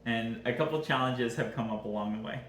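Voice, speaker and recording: A man's voice: mid-range voice, fairly quick, fairly bright tone. A man from Southern California with a Californian American accent, chatting casually as co-host of a family vlog. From Southern California, United States. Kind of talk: casual chat with partner. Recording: roomy echo, background noise.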